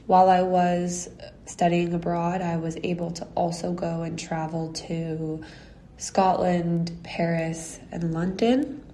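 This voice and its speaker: A young woman in her early twenties from Canada speaks slowly and reflectively, in a low, mellow voice. A faint hiss sits behind her. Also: flat delivery. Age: early 20s. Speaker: guest. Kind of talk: telling a personal story.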